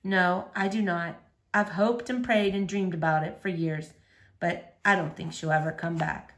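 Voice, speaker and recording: A woman reading a storybook as a gentle, weary, grieving father who is a preacher and speaks softly. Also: fairly low pitch, steady tone, measured pace, pitched below the performer's own voice. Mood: sad resignation. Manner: soft, subdued.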